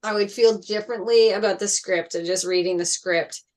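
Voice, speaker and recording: Middle-aged woman, medium-pitched voice. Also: steady tone, moderate pace, a little mellow. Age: 50s. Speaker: table read participant. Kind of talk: casual, reminiscing group discussion.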